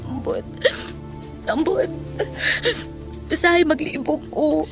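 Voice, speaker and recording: A woman's voice: high-pitched, takes it slowly, music in the background. A woman playing a confused, apologetic young sweetheart, crying, in an audio drama. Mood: tearful.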